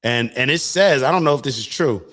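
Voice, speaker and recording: A man's voice: medium pitch, animated, fairly quick, a bit bright. A man hosting an online show and giving casual political commentary. He speaks earnestly, talks to viewers as y'all, and often restarts his sentences. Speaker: show host.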